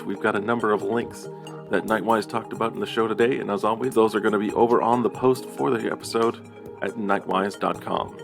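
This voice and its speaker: A man's voice: lowish voice, gravelly. A man presenting a scripted podcast outro as a co-host. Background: music behind the voice.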